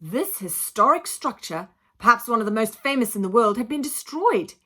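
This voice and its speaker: A woman reading a children's book aloud in an expressive, dramatic, emphatic style, like a teacher telling a story to a class. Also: fairly high pitch, normal speed. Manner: dramatic, emphatic, acts out sound effects. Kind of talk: expressive children's audiobook narration.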